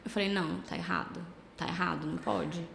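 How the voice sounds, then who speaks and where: A Brazilian woman with a slight cold, a travel agency owner chatting casually as a podcast guest, relaxed and full of fillers. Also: on the low side, a little fast, vocal fry, slight background noise. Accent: Brazilian Portuguese. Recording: echoey room with long reverb.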